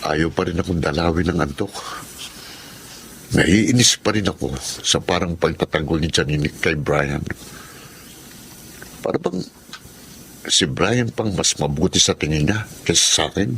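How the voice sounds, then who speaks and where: Haughty jealous Filipino suitor. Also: male voice, low voice, unhurried, creaky, pauses often, fairly bright tone, slight background noise, full character voice, rough recording. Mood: brooding, resentful. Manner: haughty, insistent. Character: jealous, arrogant well-off suitor, belittling and possessive. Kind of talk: Filipino radio drama acting.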